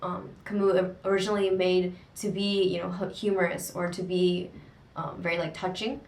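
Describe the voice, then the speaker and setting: Low-voiced young woman, set back from the mic. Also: level tone, vocal fry, darkish tone. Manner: thoughtful, hesitant, many pauses. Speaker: co-host of a book discussion channel. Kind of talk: casual book discussion. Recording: echoey room, distant mic.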